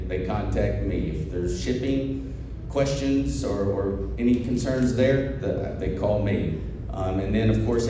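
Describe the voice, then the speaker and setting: A man in his forties with a Kentucky accent, giving a folksy, plain-spoken presentation to an audience. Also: on the low side. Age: 40s. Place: large echoey presentation room. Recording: very echoey room, distant, noisy.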